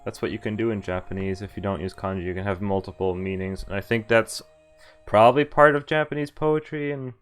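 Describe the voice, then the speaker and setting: A man's voice: on the low side, a little fast. A man streaming a game, reading a visual novel aloud and adding playful, chatty commentary that wanders into asides. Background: game music.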